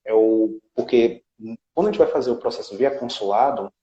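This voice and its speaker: A man's voice: medium pitch, a little fast, warm tone, echoey room, dull audio. A man with a Brazilian Portuguese accent, a guest specialist explaining a legal process step by step and often checking in with tag questions. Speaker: citizenship law specialist, guest. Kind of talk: explanatory interview talk.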